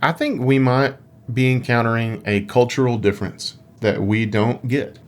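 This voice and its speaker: A man's voice: mid-range voice, moderate pace. Man chatting casually as a podcast co-host. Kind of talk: casual conversational podcast chat.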